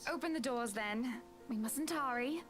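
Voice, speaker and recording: A woman voicing a wealthy, proper and exasperated socialite hostess from a visual novel, speaking formally with breathy asides. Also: a little high, a little slow, faint music behind, voice pushed up, lightly acted. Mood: composed, gracious.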